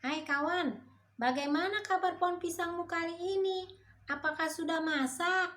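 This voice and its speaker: A woman voicing a greedy, sly male monkey in a children's story, with a shrill, taunting manner. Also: normal speed, fairly bright tone. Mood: sly friendly.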